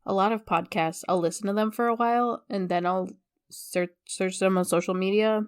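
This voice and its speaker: A woman's voice: mid-range voice, fairly even intonation, moderate pace, clean tone, no echo, clean studio sound. A woman co-hosting a podcast in casual chat, telling stories with animation and laughing a lot. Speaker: podcast guest co-host. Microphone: headphones, studio mic.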